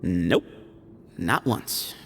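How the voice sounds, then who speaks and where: A playful young desert man in a video game, cocky and sly. His mid-range voice has a creaky edge and lively swings, in a reverberant space. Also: low-pitched, drawn-out words, a little hiss or hum, full character voice. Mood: teasing, evasive. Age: young adult. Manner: breezy, teasing, sly.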